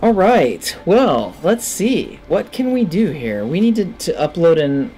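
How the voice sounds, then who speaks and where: A man's voice: a little high, sing-song, measured pace, dark tone, soft background music. A man in his thirties giving casual, enthusiastic let's play commentary, musing to himself as he plays. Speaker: gaming video host. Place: indoor room at a desk.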